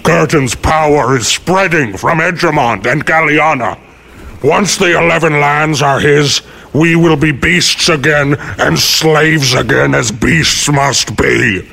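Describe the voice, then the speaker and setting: A man voicing a huge, stern, man-eating Yeti chief with a gravelly, rumbling, menacing and formal way of speaking in a fantasy audiobook. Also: takes it slowly, bright, forceful tone, exaggerated voice. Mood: stern, commanding.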